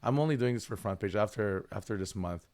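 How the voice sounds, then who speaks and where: A man's voice: lowish voice, a little fast, a little mellow. A man hosting a livestream, chatting casually and joking with a guest, his voice a bit tired from lost sleep. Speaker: streamer host.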